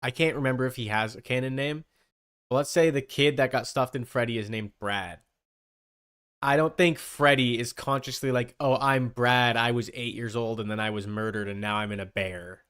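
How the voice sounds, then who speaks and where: A man chatting casually on a livestream, rambling and thinking out loud. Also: medium pitch, normal speed, clean tone. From Canada. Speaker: streamer.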